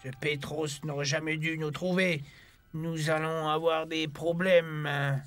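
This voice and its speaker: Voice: mid-range voice, little pitch movement, slow, fairly bright tone. An actor playing a worried, rule-bound inhabitant of an undersea kingdom in a dubbed cartoon, protesting hesitantly. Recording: music bed under the dialogue.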